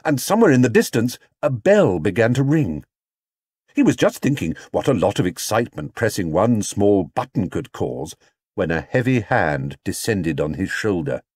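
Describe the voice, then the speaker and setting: Male audiobook narrator, children's story, expressive, wide swooping pitch, dry close sound. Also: normal speed, dry recording.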